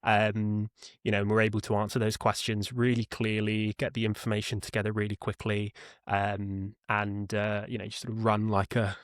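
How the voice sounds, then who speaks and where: A man's voice: on the low side, monotone, moderate pace, no echo. Man explaining a workflow, dry close sound. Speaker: guest, customer support team lead. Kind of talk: conversational product walkthrough in an interview.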